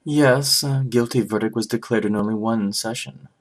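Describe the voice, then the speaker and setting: A man voicing a composed, formal prosecutor in a game fandub, speaking in a measured, matter-of-fact way. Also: on the low side, soft background music, close to an everyday voice. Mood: composed, businesslike. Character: composed, formal male prosecutor briefing on a case. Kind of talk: scripted game fandub acting.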